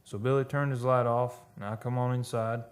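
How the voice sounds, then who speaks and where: A man's voice: mid-range voice, steady tone, a little fast, lightly acted. An American man playing a plain-spoken, easygoing oil rig hand in a radio play, talking and telling his tale in a folksy, ungrammatical way. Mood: matter-of-fact storytelling. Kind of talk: radio play acting and narration.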